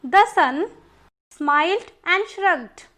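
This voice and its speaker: A woman reading a scripted children's story as a storyteller and teacher, slowly and deliberately. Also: extremely high pitch, animated, a bit bright.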